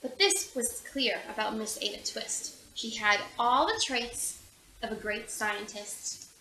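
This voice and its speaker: A woman reading a children's picture book aloud in an animated, theatrical way, acting out the characters. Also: fairly high pitch, unhurried, roomy sound, a little hiss or hum, rough recording. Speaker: storybook reader.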